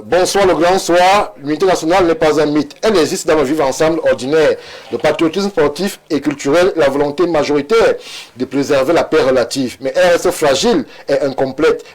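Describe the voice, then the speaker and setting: Guy hosting a tv debate, reads out viewer messages steady and measured, voice sits a bit high. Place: TV studio.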